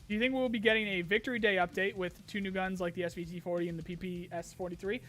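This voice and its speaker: A man hosting a casual live-stream Q&A, rambling with many ums and restarts while his voice grows hoarse. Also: high-pitched, steady tone, fast talker, a bit bright, faint music behind. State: losing his voice, clearing throat. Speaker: streamer host answering chat questions.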